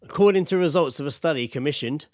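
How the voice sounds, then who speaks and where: A man's voice: a little high, fast, no echo, studio quality. A man co-hosting a podcast, informal and blokey as he chats and reads things out. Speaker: podcast co-host. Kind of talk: casual chat, reading out odd news.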